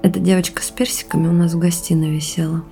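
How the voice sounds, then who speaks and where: A woman's voice: fairly low pitch, flat delivery, fast talker, gravelly, mellow, faint music behind. An actress playing a candid, reflective woman in an audio drama, talking in a confiding, opinionated way. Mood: intimate, musing. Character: candid, reflective woman, intimate partner hoping for motherhood.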